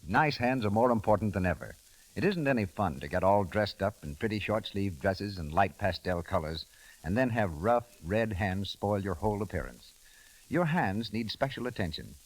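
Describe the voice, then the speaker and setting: A man announces in 1930s radio style. His voice is on the low side and moves expressively through polished scripted copy. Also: poor audio.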